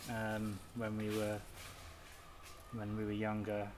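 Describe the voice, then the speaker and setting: A man speaking thoughtfully in casual chat, halting and repeating words as he gathers his thoughts. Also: fairly low pitch, completely flat delivery, background noise. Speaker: podcast guest. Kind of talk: casual chat while gardening.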